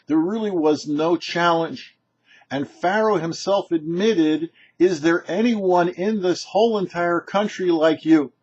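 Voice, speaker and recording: A man with a husky, slightly rough voice delivers a short religious lesson. His pitch moves up and down expressively as he explains, pausing deliberately for emphasis. The recording is dull and lo-fi. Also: darkish tone. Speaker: rabbi presenting a weekly Torah portion show. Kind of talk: short religious teaching, read to camera or mic. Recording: dull, muffled lo-fi sound.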